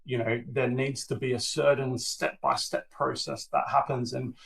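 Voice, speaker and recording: A man's voice: medium pitch, creaky. A man speaking as a webinar panelist, thoughtful and hedging with fillers as he explains. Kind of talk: business webinar discussion.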